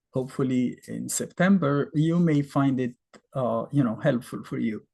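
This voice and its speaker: A man in his fifties with an Egyptian accent, native Arabic, explains math in a relaxed, chatty way with lots of rhetorical questions. His voice is husky and mellow, and his pitch rises and falls a lot as he talks. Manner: conversational, thinks aloud with fillers and rhetorical questions. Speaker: math teacher. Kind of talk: casual explanatory lesson.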